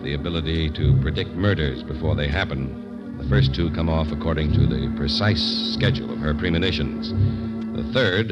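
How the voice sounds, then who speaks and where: A male radio announcer giving smooth, measured, dramatic scripted narration. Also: low voice. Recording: dull old radio broadcast.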